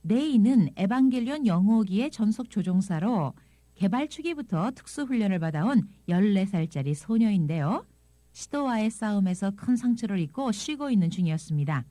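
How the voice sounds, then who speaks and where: A woman presenting a scripted audio language lesson, explaining things in an expressive reading style. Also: mid-range voice, normal speed, no echo. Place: dry studio.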